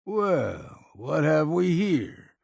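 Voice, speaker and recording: Game role: grizzled old male sea hunter, heavy fry, husky, blunt and stubborn. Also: fairly high pitch, big pitch swings, drawn-out words, a little mellow, no echo, studio quality, heavily acted. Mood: gruff. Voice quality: gravelly, rasping. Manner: folksy, blunt, weathered. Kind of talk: video game character acting.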